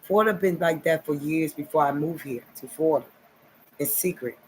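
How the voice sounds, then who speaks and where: A man's voice: a little high, fairly quick, slight background noise, poor audio. A man in his forties from New Jersey, talking to his viewers in a rambling, repetitive monologue full of "okay" and "nice". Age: 40s. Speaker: vlogger.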